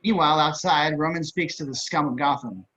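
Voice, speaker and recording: Male narrator, highish voice, brisk, scripted stage directions, muffled call audio. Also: bright, forceful tone. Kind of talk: scripted narration for a table reading. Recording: dull video-call audio.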